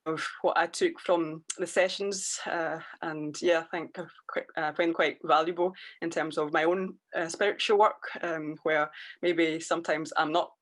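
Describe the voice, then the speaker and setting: A young woman in her twenties answering interview questions softly, with many ums. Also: low voice, steady tone, normal speed. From Scotland, raised in France. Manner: soft-spoken, many fillers. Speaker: interviewee, youth worker. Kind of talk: conversational interview.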